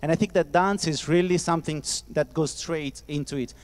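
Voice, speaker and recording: A man's voice: a little high, a little fast. Italian man hosting a discussion in a hall. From Italy. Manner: playful, laughing, thinks aloud with fillers. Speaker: festival discussion host. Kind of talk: casual moderated discussion. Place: festival hall with audience. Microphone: handheld microphone. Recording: reverberant hall.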